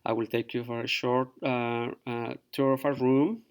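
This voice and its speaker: Middle-aged teacher, halting. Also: male voice, mid-range voice, flat delivery, smooth. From Peru. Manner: halting, many fillers. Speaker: fourth grade teacher. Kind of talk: virtual open house presentation to parents.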